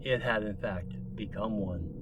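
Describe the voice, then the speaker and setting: A man's voice: lowish voice, measured pace, background noise. A man reading dramatic scripted science fiction narration as a storyteller.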